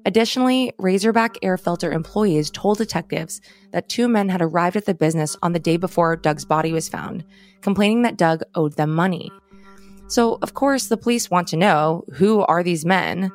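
A woman's voice: on the low side, moderate pace, faint music behind. A woman co-hosting a true crime podcast, narrating the case and dropping casual asides. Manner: conversational, adds casual asides. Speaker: podcast co-host.

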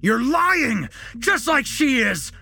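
A man voicing a panicked, deranged young man in an audio story, whining and shouting that he is innocent. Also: on the high side, very animated, a little fast, gravelly, soft background music, voice pushed up. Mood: unhinged screaming.

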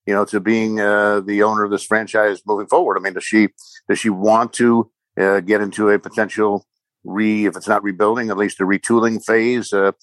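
Halting, lower-voiced man giving sports analysis. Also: little pitch movement, dry recording. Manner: halting, hedging, frequent ums and repeated words. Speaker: sports commentator guest, former radio play-by-play announcer. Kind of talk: casual sports podcast analysis.

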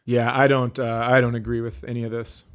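A man's voice: mid-range voice, little pitch movement, brisk, clear, resonant tone. A man co-hosting a comedy podcast in casual banter, with an incredulous, joking manner.

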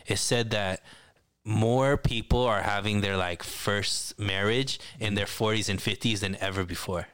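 Podcast host, man in his late thirties, bright and clear voice, rambling casual talk. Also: on the low side, fairly even intonation, a little slow. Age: 30s. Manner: laughs often, loose rambling talk full of fillers. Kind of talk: casual chat.

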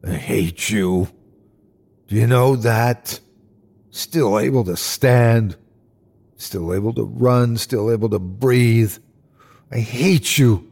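A man voicing an anguished dying man in a horror story, his voice strained and halting as he speaks in short bursts. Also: mid-range voice, lively intonation, vocal fry, darkish tone. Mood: bitter hatred. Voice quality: strained, sometimes whiny or growling. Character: dying man, frightened, awed, turning bitter and hateful. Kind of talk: dramatic horror story reading.

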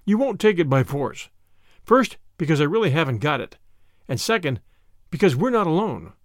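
A man's voice: a little high, very animated, normal speed, no room sound, studio quality. A man voicing a small, mild, shrewd country priest in a storybook, speaking simply with a timid, apologetic manner. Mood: calm, simple.

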